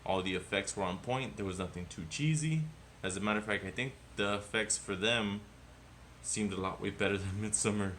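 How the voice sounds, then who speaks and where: A man co-hosting a casual movie review podcast, talking in an animated, rambling way and swearing often. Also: fairly low pitch, a little fast, a little hiss or hum. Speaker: podcast co-host.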